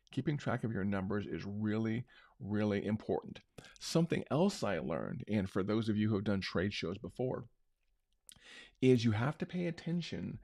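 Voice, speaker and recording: A middle-aged man hosting a marketing show, talking unscripted in a loose, conversational way with a big booming voice. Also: darkish tone, dry recording, clean studio sound. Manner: unscripted, conversational, many restarts. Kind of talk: casual talk-show recap.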